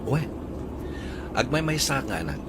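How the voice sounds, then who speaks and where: Man playing a smooth-talking rich young suitor. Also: medium pitch, big pitch swings, a little slow, background noise. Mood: charming.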